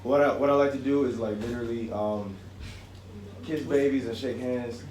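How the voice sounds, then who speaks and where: A man's voice: mid-range voice, steady tone, normal speed, darkish tone, slight background noise. A student in his twenties from Atlanta with a voice worn from singing, asking a rambling question at a panel. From Atlanta, Georgia. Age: 20s. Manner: rambling, chatty. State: losing his voice. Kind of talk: audience question. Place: university event hall. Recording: roomy hall, mic heard from a distance.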